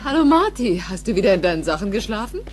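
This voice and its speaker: A woman's voice: medium pitch, big pitch swings, fast, darkish tone, natural voice. An actress playing a cheerful, affectionate suburban mother in a film audio drama. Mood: cheerful, fond.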